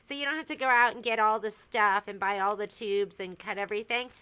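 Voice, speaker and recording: A woman's voice: medium pitch, a little fast, bright, forceful tone, smooth. A woman hosting a casual livestream from a sewing shop, chatty and teasing, giggling as she shows products.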